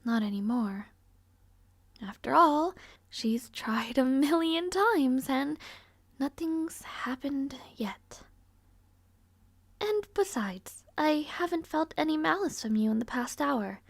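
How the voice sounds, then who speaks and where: A woman plays a frail young princess in an audio roleplay, genteel and quietly resigned. Her voice is high, soft and breathy, sinking often toward a whisper. She speaks slowly and languidly with wry detachment, very close and dry. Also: lively intonation, no room sound, exaggerated voice. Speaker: roleplay voice actress. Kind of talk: intimate audio roleplay acting.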